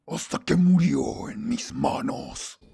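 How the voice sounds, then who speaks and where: A man with a Latin American Spanish accent voicing a menacing, imperious ancient demon in a hoarse growl for a video game fandub. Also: on the high side, huge pitch swings, slow, background noise, exaggerated voice.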